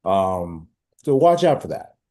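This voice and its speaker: A man co-hosting a casual sports podcast, talking in a halting, easygoing way with many fillers. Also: deep voice, big pitch swings, warm tone. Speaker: podcast co-host.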